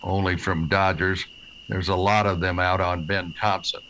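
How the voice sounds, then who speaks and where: A man acts a stern marshal of the Old West. His lowish voice is forceful and hard, with terse authority in true radio-drama style. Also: level tone, moderate pace, slight background noise, low-quality recording. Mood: wary questioning. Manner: terse, commanding. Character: stern, laconic frontier marshal, authoritative narrator. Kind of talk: western radio drama acting and narration.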